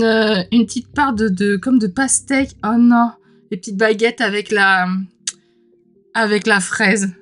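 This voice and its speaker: A woman gives a casual, gushing commentary as an unboxing host. Her voice sits at an average pitch and she is mildly under the weather, with a throat that needs clearing. Soft music plays faintly behind her informal, exclamatory talk. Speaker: host of a tarot unboxing channel.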